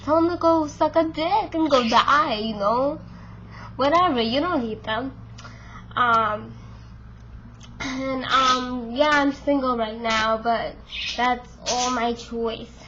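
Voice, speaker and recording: A woman's voice: high-pitched, takes it slowly, highs cut off, noisy background. A woman in her twenties talking to camera in a casual, frank way, full of fillers, with a stuffed-up nose and a voice she keeps losing. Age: 20s. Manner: chatty, frank, many fillers. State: congested and losing voice. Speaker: vlogger.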